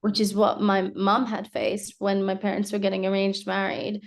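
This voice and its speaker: Young woman interviewee, gravelly edge, thoughtful, fillers and restarts. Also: medium pitch, level tone, moderate pace. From Hong Kong. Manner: reflective, many fillers and restarts. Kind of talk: casual interview.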